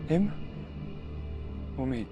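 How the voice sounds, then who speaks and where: An actor playing an earnest young man who loves a troubled warrior, intense and imploring, in a video game. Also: very slow. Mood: pleading. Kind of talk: dramatic video game acting. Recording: music behind.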